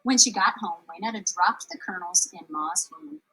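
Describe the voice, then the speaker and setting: A woman's voice: mid-range voice, expressive, normal speed, pushed and bright, breathy edge, low-quality recording. A woman reading a children's story aloud expressively as a storyteller.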